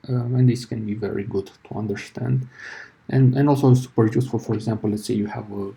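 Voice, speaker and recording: A man casually walking through a software tutorial, explaining step by step and often correcting himself mid-sentence. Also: fairly low pitch, gravelly. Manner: casual, explanatory, self-correcting, thinking aloud. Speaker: tutorial instructor. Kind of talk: screen-share software tutorial.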